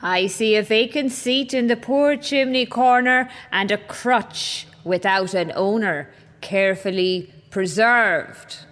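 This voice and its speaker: A woman playing a solemn Christmas ghost who speaks slowly and gravely in a radio play. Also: mid-range voice, fairly bright tone. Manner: slow, grave and measured. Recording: long reverb on the voice.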